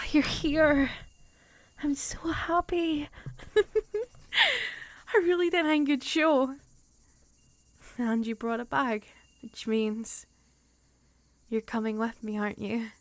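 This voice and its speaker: A woman playing a tender, excitable young woman in an improvised ASMR roleplay, hesitant and soft, slipping into whispers. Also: high voice, slow pace, long pauses, dry recording. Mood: overjoyed. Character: young woman nervously leaving her hometown, tender and excitable.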